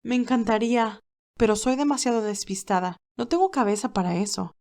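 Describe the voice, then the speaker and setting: A woman's voice: a little high, fairly quick, a little mellow, natural voice. A woman voicing a modest, kind, easily flustered young nurse in an audio fan drama. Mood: modest, friendly. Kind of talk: acted audio fan fiction dialogue.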